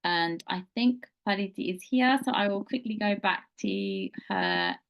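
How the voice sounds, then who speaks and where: A woman's voice: mid-range voice, wildly swooping pitch, measured pace, gravelly. Earnest woman giving career advice. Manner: earnest, many ums, repeats for emphasis. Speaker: webinar host, mentor. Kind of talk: advice talk to students.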